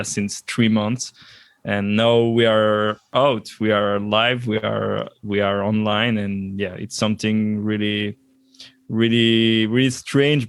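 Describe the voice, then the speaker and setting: A man's voice: fairly low pitch, dry recording. A man from Belgium, speaking in a casual interview as a podcast guest. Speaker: podcast guest, sports journalist and teacher.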